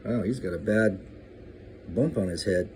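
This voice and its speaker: An older man reading a rhyming picture book aloud to a young child, in an expressive, deliberate, sing-song way. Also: on the low side, slight background noise. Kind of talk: expressive storybook narration.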